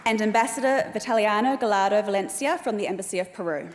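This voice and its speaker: A woman with a New Zealand accent giving a formal welcome speech as event host, speaking in a measured, deliberate way. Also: mid-range voice, noisy background. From Australia. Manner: measured, reads names slowly and deliberately. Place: large hall at an evening event. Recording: long hall reverb.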